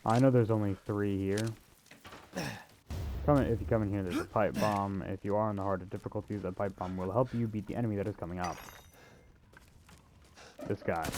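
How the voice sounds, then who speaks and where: Man, game walkthrough commentary, dark mellow tone, unscripted, offhand, self-deprecating, faint noise and clicks. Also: on the low side. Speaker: video game walkthrough narrator. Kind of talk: casual unscripted gameplay commentary.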